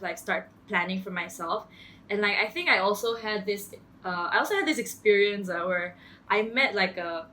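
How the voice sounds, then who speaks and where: A woman's voice: mid-range voice, a bit bright. A young woman, a student answering an interview hesitantly with many filler likes. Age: young adult.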